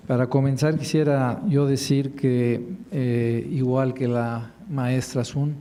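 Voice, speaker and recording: Mellow-voiced male professor on a panel. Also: mid-range voice, fairly even intonation, slow. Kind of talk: academic panel commentary.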